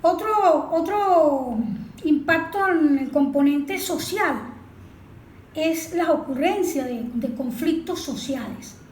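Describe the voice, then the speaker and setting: A woman's voice: high voice, expressive, takes it slowly. A woman explaining as an expert in an interview, didactic, asking questions and answering them herself. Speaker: environmental expert being interviewed. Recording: echoey room with faint background noise.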